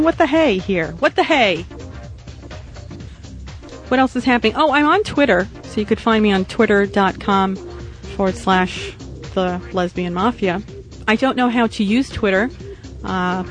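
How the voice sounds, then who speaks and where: A woman in her thirties hosting a casual talk show, sounding nasal after just waking up, rambling and crude with lots of fillers. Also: mid-range voice. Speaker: podcast host.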